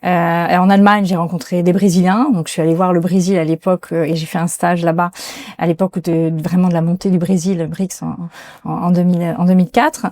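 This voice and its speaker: Hesitant woman, mellow voice, dry room. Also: fairly low pitch, level tone, fairly quick, dry recording. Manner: hesitant, many fillers and restarts. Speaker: guest executive. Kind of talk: interview conversation. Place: restaurant in Paris.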